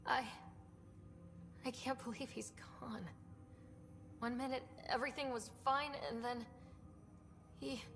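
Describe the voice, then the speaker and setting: A woman's voice: high-pitched, steady tone, takes it slowly, gravelly, pauses often, long reverb tail, background noise, exaggerated voice. A woman playing a young, shaken but determined space explorer in a naturalistic video game cutscene. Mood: shocked, grieving. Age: young adult.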